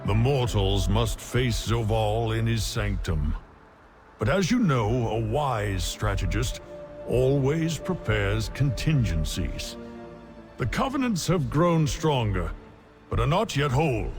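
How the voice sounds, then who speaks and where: A man voicing a wise lord and strategist, speaking calmly, formally and deliberately over soft game music. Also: mid-range voice. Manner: calm, measured, formal. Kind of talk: video game cutscene acting. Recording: game score behind.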